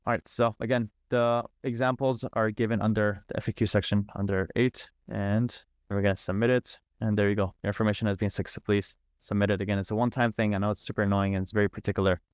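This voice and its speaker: Low-voiced man giving a casual software walkthrough. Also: flat delivery, quick pace, few pauses, smooth, no echo, studio quality. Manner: informal, repetitive, thinking aloud step by step. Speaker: host of an online software setup training. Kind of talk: casual live screen-share walkthrough. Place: live online video call.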